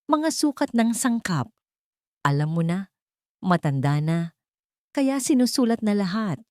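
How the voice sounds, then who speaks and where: A woman's voice: medium pitch, very animated, a little slow, a little mellow, no room sound, voice pushed up. An anxious old mother in an audio love drama, acted out by a woman narrator, pleading and fearful. She is protective and trusting, and the recording is dry and close. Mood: nervous, evasive.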